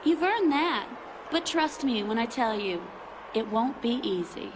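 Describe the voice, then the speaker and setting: A flirtatious wrestling manager who teases and mocks with a coy, playful tone. Her voice sits high. Ambient noise hums under this game cutscene audio. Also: animated, measured pace. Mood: teasing. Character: flirty, teasing woman wrestling show manager. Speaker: general manager. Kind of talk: video game cutscene acting.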